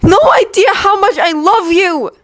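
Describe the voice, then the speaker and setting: Distraught young man, shrill and loud , voiced by a woman reading a story. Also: a little slow. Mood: furious. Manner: yelling accusations. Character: distraught young man, shouting, near tears.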